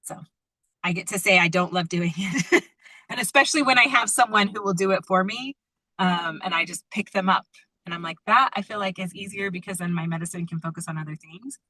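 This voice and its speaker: Female group-call teacher, slightly low pitch, bright forward tone, dry close sound, chatty and informal. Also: brisk, no echo, poor audio. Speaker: drum-making teacher leading a group call. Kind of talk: casual conversational group call talk.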